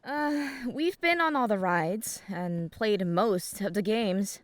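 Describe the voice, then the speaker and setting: A woman's voice: medium pitch, expressive, takes it slowly, no room sound. A woman playing a chatty, outgoing teenage girl in an audio drama. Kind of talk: acted audio drama dialogue.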